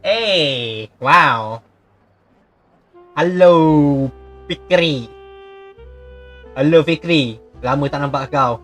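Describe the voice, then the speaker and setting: Brief: playful Malaysian streamer guy, slow and laid-back, game music underneath. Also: a little high, fairly bright tone. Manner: playful, joking, switching to Malay. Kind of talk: casual live gameplay commentary.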